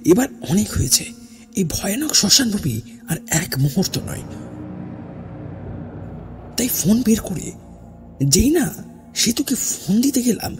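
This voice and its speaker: A man narrating a first-person horror story in a theatrical, suspenseful way, with dramatic pauses and hushed phrase endings. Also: sits high. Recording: voice over background music. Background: music.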